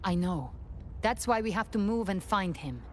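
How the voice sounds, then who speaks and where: An actress playing a proud, battle-hardened young huntress in a game cutscene, deliberate and resolute in her delivery. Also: medium pitch, animated, a little hiss or hum, natural voice. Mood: cool confidence. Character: defiant, battle-hardened young female demon hunter. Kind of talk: video game cutscene acting.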